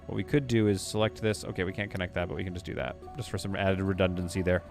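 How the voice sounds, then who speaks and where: A man's voice: deep voice, gravelly, music in the background. A man streaming a game live, chatting animatedly with his viewers and laughing often. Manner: animated, chatty, quick to laugh, reads chat aloud.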